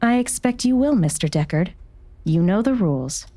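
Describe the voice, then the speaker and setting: A woman's voice: mid-range voice, lively intonation, moderate pace, mellow, no room sound, natural voice. An actress playing a cold, imperious woman doctor who leads a secretive order, speaking with formal, controlled menace in an audiobook drama. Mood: cold, commanding. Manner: formal, controlled, veiled threat.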